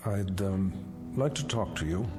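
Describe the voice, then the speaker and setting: Tired older boss man, low voice, apologetic, radio drama with some music. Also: animated. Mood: uneasy. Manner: halting, apologetic. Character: older male museum owner, weary, kindly, regretful boss. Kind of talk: audio drama acting.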